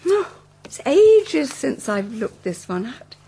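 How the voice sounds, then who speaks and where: An actress playing a gracious older farmhouse mother in a radio soap, husky. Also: sits high, very animated, slow, mellow, slight background noise, exaggerated voice. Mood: fond, welcoming. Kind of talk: naturalistic radio soap acting.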